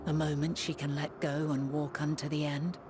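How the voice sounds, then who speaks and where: An actress playing a wise ancient woman scholar, speaking slowly and solemnly with archaic phrasing in a game cutscene. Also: low-pitched, level tone, over music, lightly acted. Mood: reverent. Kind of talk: voiced game cutscene dialogue. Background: orchestral game score.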